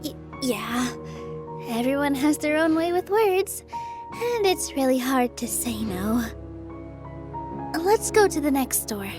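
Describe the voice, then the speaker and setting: A woman playing a gentle, kind-hearted, modest young woman in a video game, speaking in a polite manner. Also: high-pitched, sing-song, slow, pauses often, over music, full character voice. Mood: friendly.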